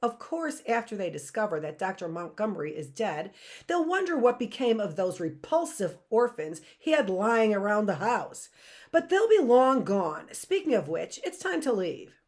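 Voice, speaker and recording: A woman's voice: mid-range voice, big pitch swings, moderate pace, darkish tone, lightly acted. A woman reading aloud, voicing a scheming, menacing man who mocks and snarls at children. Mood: smug. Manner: snarling, mocking.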